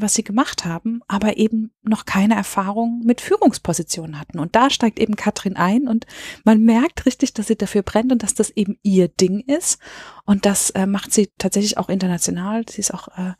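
A woman's voice: medium pitch, a little mellow, breathy edge, dry recording, studio quality. A woman co-hosting a podcast, chatting in an affirming, easy way. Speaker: podcast co-host. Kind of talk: conversational chat.

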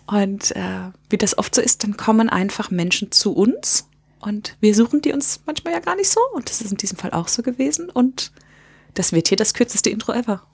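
A woman's voice: medium pitch, animated, moderate pace, gravelly, breathy edge. A woman hosting a podcast, chatting casually and asking the guest friendly questions. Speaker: podcast host and interviewer.

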